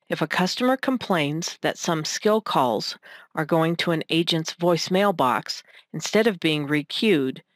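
A woman reading scripted narration for a technical demonstration in a measured, instructional manner. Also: deep voice, no room sound, studio quality. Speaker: software tutorial narrator.

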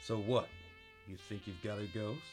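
An actor playing a world-weary, hardboiled private detective, narrating a noir radio play in a dry, wry, brooding way. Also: male voice, fairly low pitch, steady tone, moderate pace, over music, natural voice. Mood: wry, guarded.

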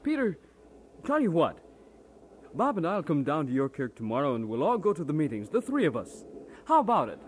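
An actor playing an eager young pal, breezy and persuasive, in an old radio drama. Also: male voice, a little high, huge pitch swings, a little fast, slight background noise. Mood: confiding, persuasive.

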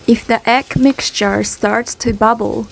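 A young woman in her twenties whose first language is Japanese, giving slow, careful step-by-step cooking instructions in a casual vlog from a small home kitchen. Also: on the high side, steady tone, creaky. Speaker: cooking vlogger.

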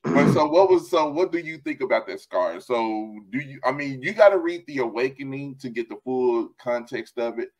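A man's voice: medium pitch, normal speed, rough recording. A middle-aged man, an author chatting animatedly over a video call from a room at home, laughing often. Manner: animated, laughs often, gestures while talking. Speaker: author and host. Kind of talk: casual video call chat. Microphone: headset.

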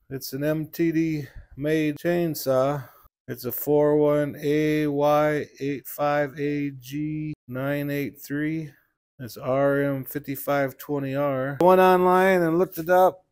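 A middle-aged man with a slightly high voice talks through an engine repair in his workshop. His shop talk is folksy and plainspoken, and it is picked up by the camera's own mic. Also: darkish tone. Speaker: small engine repair mechanic. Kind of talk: casual hands-on repair walkthrough.

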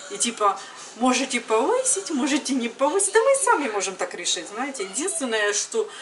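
A woman's voice: a little high, big pitch swings, fairly quick, crowd noise. A woman in her thirties chatting casually to camera as a vlogger, conversational and retelling everyday exchanges. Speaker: vlogger, mother. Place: home kitchen, later another room indoors. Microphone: camera a little distance away. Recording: noisy room, distant camera microphone.

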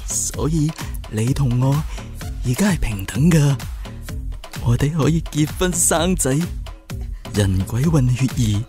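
A man playing an obsessive, clingy, excitable lovesick kidnapper in a radio drama, wheedling theatrically. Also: mid-range voice, takes it slowly, long pauses, faint music behind. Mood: eager, insistent.

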